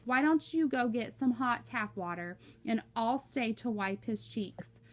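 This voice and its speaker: A teasing father in his thirties, acted by a woman reading a storybook. He is wry and jokey. The voice sits a bit high. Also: lively intonation, measured pace. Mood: amused, teasing. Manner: wry, joking. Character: amused, teasing 35-year-old father.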